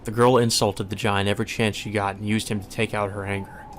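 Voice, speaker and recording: A man reading a visual novel aloud as dramatic, solemn and measured narration. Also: lowish voice, flat delivery, a little hiss or hum. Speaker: narrator, let's play reader. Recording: music behind the voice.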